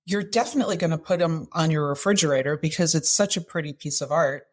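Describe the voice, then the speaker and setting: A woman's voice: deep voice, quick pace. A woman speaking as an interview guest and coach, giving direct, emphatic advice in conversation and often posing rhetorical questions. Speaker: guest author and activism coach.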